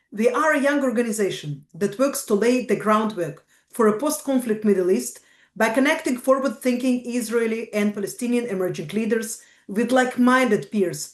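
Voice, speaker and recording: Woman, podcast host, measured, formal, reverberant room. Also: medium pitch. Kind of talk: scripted podcast introduction and questions.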